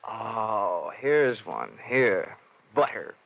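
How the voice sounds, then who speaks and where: A man's voice: lowish voice, very animated, very slow, gravelly, full character voice. A man playing a cocky, tough, wisecracking insurance investigator in a radio detective drama, speaking in a wry, slangy way. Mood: musing, flippant. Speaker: lead actor. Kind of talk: 1940s-style radio detective acting. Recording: dull lo-fi radio sound.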